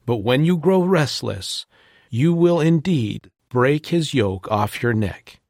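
A man voicing an aged, trembling patriarch father in a dramatized scripture reading, with a slow, solemn, quavering delivery. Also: medium pitch, animated, darkish tone, no echo, clean studio sound, pitched above the performer's own voice.

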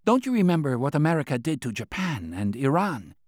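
A narrator lifts his voice into a worried older minister with a raised, pressing pitch and a dry, close sound. The official pleads urgently and pushes each point with mounting dread. It is a storybook figure voiced in a tight studio. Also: sing-song, brisk, a little mellow, no echo. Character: fearful older male Chinese minister, earnest.

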